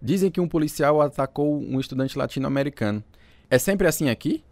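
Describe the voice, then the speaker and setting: A man's voice: a little high, animated, a little fast, darkish tone, no echo. A man playing an agitated, earnest teenage boy in a radio drama. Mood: uneasy.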